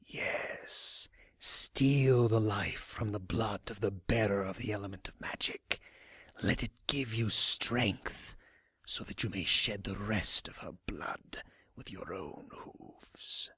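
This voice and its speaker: A man voicing a sinister unicorn cultist who whispers a dark prayer, breathy, reverent and menacing, in a fantasy story. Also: on the low side, slow pace, no room sound, studio quality, heavily acted.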